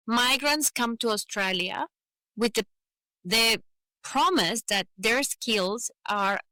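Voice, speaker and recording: A woman's voice: fairly high pitch, bright, forceful tone, dry recording, low-quality recording. A woman with a Venezuelan accent, a native Spanish speaker, talking earnestly and explaining her points in a conversational interview, often adding "you know". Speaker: podcast guest, advocate for migrant professionals.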